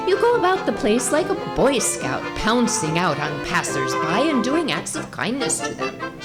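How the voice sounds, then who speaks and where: Lively low-pitched woman narrating a story. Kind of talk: scripted comic story narration.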